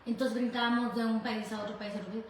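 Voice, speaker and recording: A woman's voice: a little high, fairly even intonation, quick pace. A middle-aged woman with a Mexican accent, talking casually as a guest and sharing her personal story. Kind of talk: casual conversational testimony. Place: echoey room in host's home. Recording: very echoey room, distant mic, faint noise.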